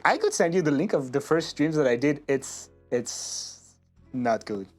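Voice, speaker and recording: A man's voice: fairly high pitch, big pitch swings, measured pace, faint music behind. A man from France with a French accent, hosting a casual, playful interview chat in English. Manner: playful, laughs often. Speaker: interview host and streamer.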